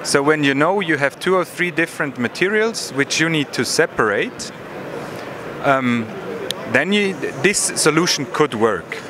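A man in his thirties or forties giving a measured technical explanation in an interview, pausing to find words, on a handheld microphone. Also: a little high, expressive, a crowd in the background. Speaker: product manager explaining a demo. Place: busy exhibition hall booth. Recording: noisy trade fair hall ambience.

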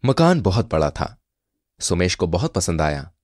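Deep-voiced, animated male storyteller. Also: measured pace, no room sound, clean studio sound. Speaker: narrator. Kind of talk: scripted Hindi storytelling narration.

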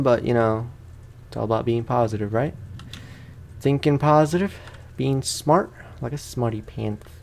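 A man's voice: mid-range voice, vocal fry, darkish tone. A man hosting a let's play, chatting casually and playfully in slangy speech as he comments on the game. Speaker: gamer, let's play host.

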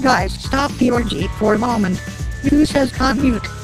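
A woman voicing an excitable, bossy cartoon character who talks in a bright, exclaiming way. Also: on the high side, a little slow, natural voice, rough recording. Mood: cheerful. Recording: loud music and crowd noise behind.

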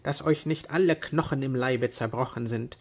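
A man's voice: medium pitch, moderate pace, lightly acted. A man voicing a loyal, down-to-earth squire with an earthy manner in a storybook tale. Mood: concerned, resigned. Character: down-to-earth, plain-spoken squire, loyal but exasperated.

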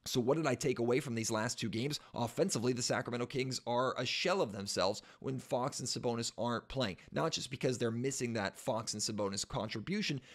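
A raspy, congested man in his thirties talks straight into a studio mic in a small home room. He hosts a sports podcast with energy, gestures as he goes, and pokes fun at himself along the way. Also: mid-range voice. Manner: energetic, self-mocking, gestures while talking. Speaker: podcast host. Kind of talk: casual sports podcast talk. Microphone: studio mic on boom arm, headphones.

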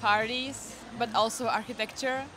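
A woman's voice: a little high, steady tone, normal speed, bright, forceful tone. A young woman from the Czech Republic with a Czech accent, chatting in English in a casual interview and sometimes searching for words. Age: young adult. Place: indoor cafe. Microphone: handheld microphone. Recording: music playing behind.

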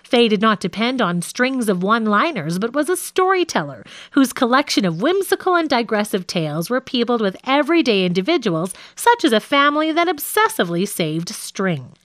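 A woman reads scripted nonfiction as an audiobook narrator. Her voice sits a little high and moves through a wide, animated range of pitch. The delivery is measured and articulate, and the sound is dry and close, with very little room. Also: no echo.

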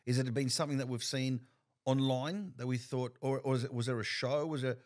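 A man's voice: medium pitch, steady tone, brisk. A middle-aged man hosting a casual podcast interview, often restarting and repeating words as he thinks. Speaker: podcast host. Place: bar-like studio room, indoors. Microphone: desk mic on a stand.

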